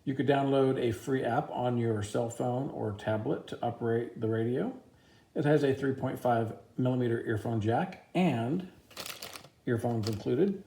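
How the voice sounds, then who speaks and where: Man, creaky voice, relaxed dark tone, medium pitch, informal product reviewer, step-by-step explaining. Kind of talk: casual product review and demonstration.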